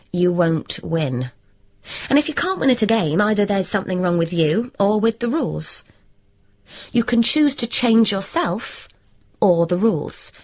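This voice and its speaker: An actress playing a wry, scheming young woman who narrates and talks in a deadpan, wordplay-heavy radio comedy drama. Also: lowish voice.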